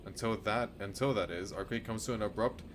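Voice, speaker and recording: A man's voice: fairly low pitch, fairly quick, noisy background. A man streaming a game, reading a visual novel aloud and adding casual commentary.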